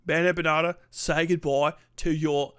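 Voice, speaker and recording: A man hosting a livestream, reacting to videos in profane, animated casual chat that swings between loud outbursts and mutters. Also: on the high side, normal speed, clean tone, no echo, clean studio sound. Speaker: livestream host.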